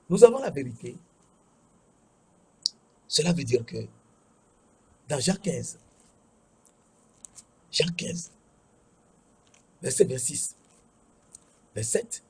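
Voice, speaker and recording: A man with a West African French accent preaching a sermon in an emphatic, repetitive way, with a husky, rough-edged voice. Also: high voice, sharp tone. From Togo. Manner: emphatic, repeats key phrases.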